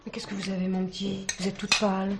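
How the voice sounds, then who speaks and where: A woman's voice: medium pitch, completely flat delivery, quick pace, loud music behind the voice, close to an everyday voice. An actress playing a forthright, motherly lady guest who shows concern in a familiar way, in a television drama. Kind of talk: French television drama acting. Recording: very noisy room with clatter.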